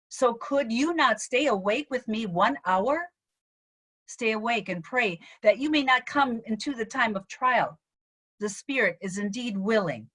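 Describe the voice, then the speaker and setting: A middle-aged woman pastor giving a measured, solemn dramatic scripture reading over a video call. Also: a little high, a bit bright. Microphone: video call microphone.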